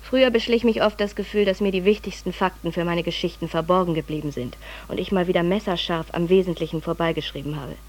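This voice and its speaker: A woman's voice: on the low side, quick pace, few pauses, close to an everyday voice, rough recording. Actress doing a frazzled lady reporter reading out her letter, wry and dramatic, radio play style. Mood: exasperated. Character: overwhelmed, self-ironic woman journalist, a pedantic researcher. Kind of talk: radio drama monologue, letter read aloud.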